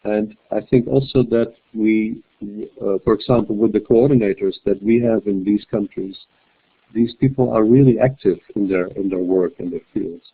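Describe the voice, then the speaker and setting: A man with a fairly low voice speaks as a webinar panelist, measured and prone to restarts. His audio comes through thin and narrow, like a phone line. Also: husky. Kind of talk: webinar discussion. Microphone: computer mic on a web call. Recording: tinny phone-like web call audio.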